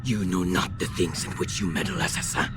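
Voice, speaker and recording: An actor voicing a condescending, threatening Templar villain with measured disdain in a video game. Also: male voice, low voice, steady tone, lightly acted. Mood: disdainful menace. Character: menacing, condescending male Templar commander, a villain. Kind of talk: video game cutscene dialogue. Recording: noisy game audio with ambience.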